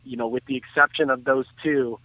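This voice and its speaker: Heard through a thin, phone-like connection with no room sound, a man talks sports. His voice sits at an average pitch, and he analyses casually, restarting phrases as he goes. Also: fairly even intonation, a little fast, no room sound. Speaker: sports talk commentator. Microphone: phone call. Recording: thin, tinny phone line.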